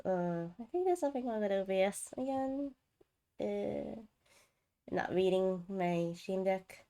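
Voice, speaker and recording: A woman hosting a game livestream, chatting casually and rambling as she thinks aloud. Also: medium pitch. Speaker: game streamer, host.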